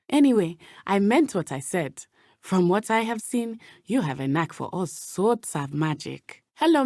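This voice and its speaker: Game role: principled young witch student, slightly low register, careful formal diction, earnest and courageous, dry studio sound. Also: darkish tone, no echo. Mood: warm, encouraging. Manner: formal, measured phrasing without contractions. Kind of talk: video game voice acting.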